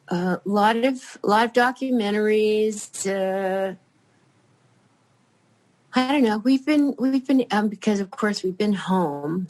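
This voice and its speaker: An older woman chatting as a podcast guest, rambling and halting, with many fillers and restarts. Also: medium pitch. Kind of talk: casual chat.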